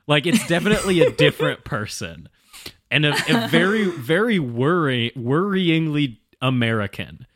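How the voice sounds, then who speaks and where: A man's voice: a little high, very animated, normal speed, a bit bright. A man hosting a casual comedy podcast, teasing and mock-serious in his banter. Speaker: podcast host.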